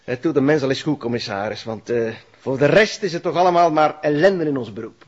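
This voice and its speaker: A man's voice: a little high, a little fast, a little mellow, close to an everyday voice. An actor playing a jovial, eccentric Flemish forensic expert in an audio drama, theatrical and pedantic, rambling and repeating his words. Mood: relishing. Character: eccentric, jovial male forensic expert, savouring a murder case. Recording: dull, muffled lo-fi recording.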